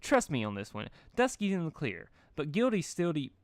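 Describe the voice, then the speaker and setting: A sweet, childlike cartoon pony girl, acted out by a man, chirps in playful baby talk. The voice jumps high and stays perky and unbothered, with a dry, close sound. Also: huge pitch swings, normal speed, dry recording.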